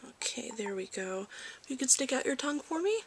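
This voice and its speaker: A soft-spoken woman who often slips into a whisper, playing a gentle, reassuring doctor in an ASMR medical exam roleplay. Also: high-pitched, very animated, fairly quick. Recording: clearly noisy amateur recording.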